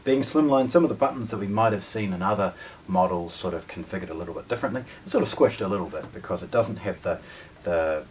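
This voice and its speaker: A man in his forties with a New Zealand accent giving an informal, explanatory unboxing demonstration, pausing mid-sentence as he goes. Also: low-pitched, lively intonation, a little mellow. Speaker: product presenter for a hi-fi shop. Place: indoor room with shelves of discs and a wooden table. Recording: distant mic, noticeable background noise.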